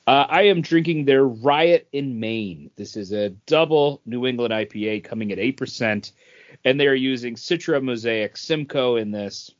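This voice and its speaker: A man's voice: medium pitch, normal speed, lo-fi sound. A man co-hosting a podcast, talking casually as if reviewing things among friends. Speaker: podcast co-host. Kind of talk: casual chat, reviewing beer.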